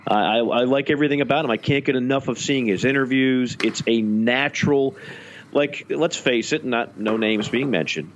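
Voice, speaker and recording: A man's voice: medium pitch, moderate pace, dull audio, a little hiss or hum. A man co-hosting a podcast, chatting casually and animatedly with frequent restarts. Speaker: podcast co-host. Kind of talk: casual sports talk.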